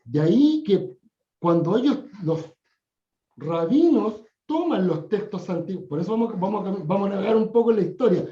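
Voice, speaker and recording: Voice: mid-range voice, lively intonation, normal speed, dark tone, echoey room, lo-fi sound. A Bible class teacher giving a classroom lecture, often repeating phrases and correcting themself mid-sentence. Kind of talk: classroom lecture on scripture history.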